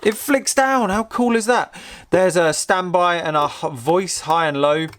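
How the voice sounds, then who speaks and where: A man giving casual, unscripted commentary as a host showing a gadget, rambling and halting with repeated words, curious and easily amused. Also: high-pitched, a bit bright. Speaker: host of a vintage technology channel.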